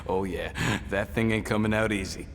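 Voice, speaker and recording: A man playing a cocky, scrappy outlaw sidekick in a video game, informal and expressive. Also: lowish voice, normal speed, gravelly, long reverb tail, slight background noise. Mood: casual. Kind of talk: video game cutscene acting.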